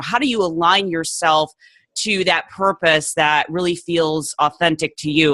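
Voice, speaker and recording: Upbeat female podcast host, bright forceful tone, laughs often, eager chatty delivery. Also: fairly low pitch, dry recording. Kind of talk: upbeat interview hosting.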